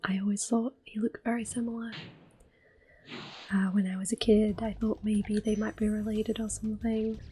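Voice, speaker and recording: A woman giving soft-spoken ASMR game commentary in a gentle, breathy, often whispered murmur. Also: mid-range voice, flat delivery, moderate pace. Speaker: gameplay host.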